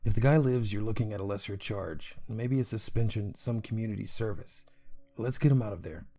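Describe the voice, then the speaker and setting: An actor playing a pragmatic brother trying to take charge in a crisis, urgent and reasoning, in a narrated story. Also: male voice, on the low side, a little fast, close to an everyday voice. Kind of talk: acted lines in a narrated horror story.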